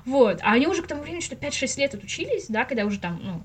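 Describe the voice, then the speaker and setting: A woman's voice: sits high, big pitch swings, racing, a little hiss or hum. A woman chatting casually as a podcast guest, an animation teacher who tells stories expressively and often acts out quotes with laughter. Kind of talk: casual interview chat.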